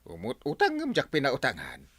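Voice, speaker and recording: Excitable, wildly swooping neighbor, Ilocano accent. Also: male voice, medium pitch, moderate pace, no room sound. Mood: aggrieved. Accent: Ilocano Filipino. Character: excitable, exasperated male neighbor refusing to lend money. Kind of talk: radio comedy-drama acting.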